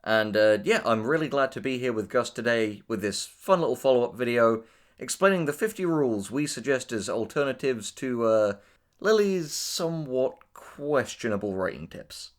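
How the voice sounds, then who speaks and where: Animated British man presenting advice. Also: mid-range voice, moderate pace. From Britain. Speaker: co-presenter, audio drama writer. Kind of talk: scripted presentation of numbered writing tips.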